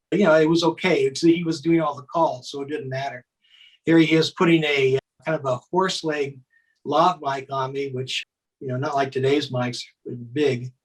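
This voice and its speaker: A man's voice: on the high side, poor audio. An older man reminiscing in a chatty, folksy way as an interview guest narrating home footage.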